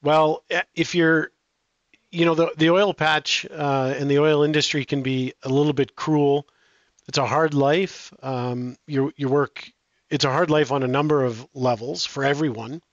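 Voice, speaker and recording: A middle-aged man answering interview questions in a relaxed, halting way, with many restarts and fillers. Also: on the high side, no room sound, muffled recording. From Canada. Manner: hesitant, frequent restarts and fillers. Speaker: guest.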